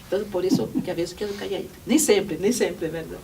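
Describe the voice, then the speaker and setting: A woman's voice: fairly low pitch, brisk, creaky, warm tone. A woman whose first language is Portuguese, giving reflective academic commentary at a presentation, searching for words and often adding tag questions. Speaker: panel commentator at a research report presentation. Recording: distant mic with faint room noise.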